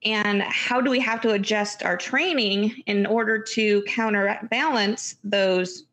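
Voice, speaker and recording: A woman running coach speaks as a podcast guest, upbeat and quick. She talks with easy energy in a casual conversation, in a mid-range voice. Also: medium pitch, fairly even intonation, a bit bright.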